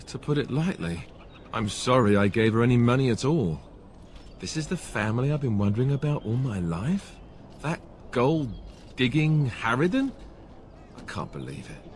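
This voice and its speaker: A man's voice: mid-range voice, big pitch swings, a little slow, a little hiss or hum. A man playing an earnest, awkward young warrior prince in a video game, speaking hesitantly and sincerely. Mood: hurt, dejected.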